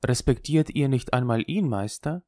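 A man voicing an earnest young apprentice who asks his questions quietly and haltingly. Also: medium pitch, lively intonation, no echo, clean studio sound, lightly acted.

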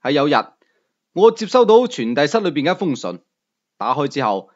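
A man's voice: big pitch swings, brisk, lo-fi sound. A man narrating a novel in the first person as a storyteller, in an audiobook reading. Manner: first-person storytelling, rising and falling pitch.

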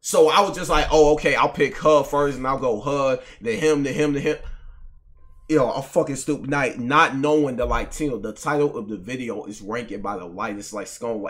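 A man's voice: mid-range voice, normal speed, a bit bright. Young man ranting casually to his stream chat. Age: young adult. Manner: loose, profane, self-mocking rambling. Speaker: streamer reacting to a video. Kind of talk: casual reaction commentary to chat. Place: home room at a desk.

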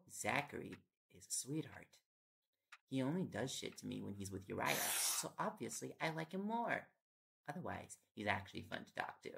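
Man performing a young boyfriend, audio roleplay, heavy vocal fry, medium pitch, affectionate, teasing, coaxing, intimate. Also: full character voice. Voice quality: gravelly, creaky. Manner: playful coaxing, chatty. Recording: loud background noise with clicks. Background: ticking and clicking sounds.

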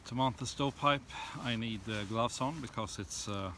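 A middle-aged man casually demonstrating outdoor gear in a halting, unscripted way, recorded on a camera in a tent and snowy woods. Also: medium pitch, sharp tone. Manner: hesitant, halting step-by-step explaining. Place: snowy forest and inside a tent. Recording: clearly noisy camera audio with clicks.

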